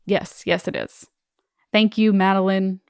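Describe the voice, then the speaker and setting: A woman hosting a podcast, reading stories aloud and chatting casually in an expressive, enthusiastic way. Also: mid-range voice, measured pace, clean tone, no room sound, studio quality. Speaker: podcast host.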